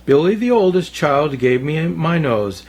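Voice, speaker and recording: A man reading a children's storybook aloud as a storyteller, in a theatrical, deliberate way. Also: on the high side, sing-song, darkish tone, husky. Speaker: storyteller, Santa story-time host.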